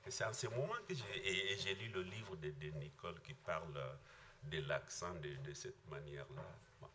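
An older man from Mali with a Malian accent, speaking in a reflective panel discussion in a thoughtful, halting way with frequent restarts. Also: low-pitched, pushed and bright, slight background noise.

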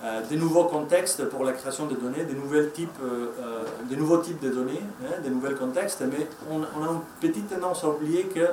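A man's voice: a little high, fairly quick, hardly any pauses, background noise. A man with a Spanish accent and Spanish as his first language, giving a hesitant conference presentation with many fillers and restarts. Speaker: conference speaker. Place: conference room. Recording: echoey room, mic from far off.

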